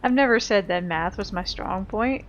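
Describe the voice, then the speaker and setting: A woman's voice: mid-range voice, moderate pace, lo-fi sound, a little hiss or hum. A woman giving casual video game commentary, thinking aloud in an informal, self-deprecating way. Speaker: video game player and host of a playthrough.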